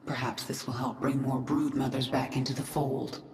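A woman's voice: extremely low pitch, flat delivery, moderate pace, darkish tone. A woman playing a cool, resolute alien-human swarm queen in a video game, controlled and authoritative. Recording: echoing, long cavernous reverb.

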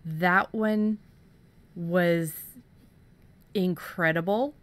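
A woman's voice: lowish voice, steady tone, extremely slow. A woman co-hosting a podcast, talking in a casual, conversational style. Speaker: podcast co-host.

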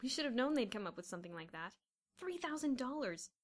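A woman voicing an excitable, bossy schoolboy schemer who exclaims and gives orders in a storybook narration. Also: medium pitch, rapid-fire, natural voice. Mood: scheming. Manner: exclamatory, commanding.

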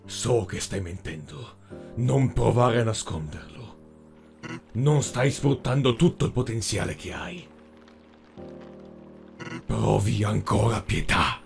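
A man voicing a cruel, mocking dark tentacled overlord in an animated fan dub, speaking with menacing mock politeness. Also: mid-range voice, slow, vocal fry, pauses often. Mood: cold, accusing. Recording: music behind the voice.

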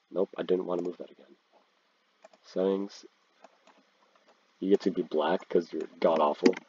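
A man's voice: low voice, dark tone, breathy edge. A young man with a congested cold, talking in a laid-back, slangy way while commenting over a screen recording. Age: teens. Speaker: video creator ranking instruments. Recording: dull, lo-fi, dry sound.

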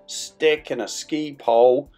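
A man's voice: mid-range voice, steady tone, slow pace, dark tone. A middle-aged man narrating a vlog in a chatty, playful, colloquial way over music. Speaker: vlog host. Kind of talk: casual vlog storytelling voice-over. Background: background music.

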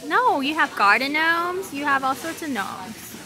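A chatty woman from Hong Kong hosting a casual shopping vlog with an animated manner. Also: high voice, a little slow, over music. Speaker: vlog host. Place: large retail store. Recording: busy store background noise.

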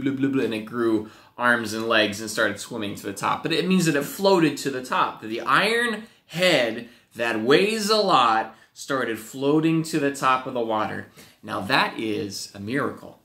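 A young man leads a children's Bible lesson from a small home office, his voice crisp and bright. He tells the story with animated energy and slips into playful acted-out voices. The webcam catches a roomy echo off the walls. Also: medium pitch. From Wisconsin, USA. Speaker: youth pastor. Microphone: webcam at desk.

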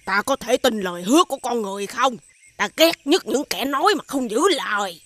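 A woman voicing a fierce, suspicious demon mother in an animated fairy tale, with a brash, demanding manner. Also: a little high, fairly quick, no room sound. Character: fierce, suspicious demon mother who sings clumsy lullabies. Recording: music behind the voice.

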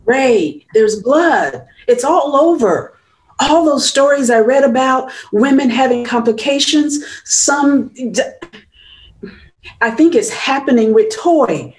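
A woman's voice: sits high, expressive, slow pace, low-quality recording. A woman playing a frantic young woman, urgent and alarmed for her sister, at a dramatic script table read. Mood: panicked.

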